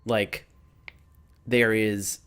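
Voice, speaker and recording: A man improvising narration as a game master in a halting way, rephrasing as he goes. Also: on the low side, flat delivery, a bit bright, clean tone.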